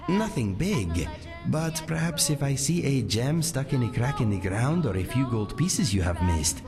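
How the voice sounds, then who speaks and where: A man voicing a courteous cat-person adventurer companion in a video game, with formal, measured phrasing. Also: mid-range voice, big pitch swings. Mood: polite, tentative. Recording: long reverb, music behind.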